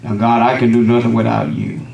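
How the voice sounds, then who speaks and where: A middle-aged man, a visiting pastor, opening his sermon and praying from the pulpit with a measured cadence and frequent amens. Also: medium pitch, little pitch movement, creaky, darkish tone, dull audio. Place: wood-paneled church sanctuary. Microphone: lectern microphone, camera at back of room. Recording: echoey room, distant camera, congregation responses.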